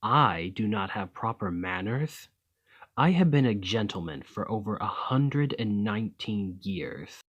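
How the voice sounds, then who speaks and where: A man playing a weary, courtly, centuries-old vampire and former soldier in an ASMR roleplay, speaking in formal, old-fashioned, measured diction. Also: on the low side, expressive, a bit bright, slightly rough, heavily acted. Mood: stern, impatient.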